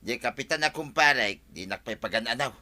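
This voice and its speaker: Piercingly forceful Ilocano village man. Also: mid-range voice, animated, a little fast. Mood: boastful, pleased. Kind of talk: Filipino radio comedy drama acting.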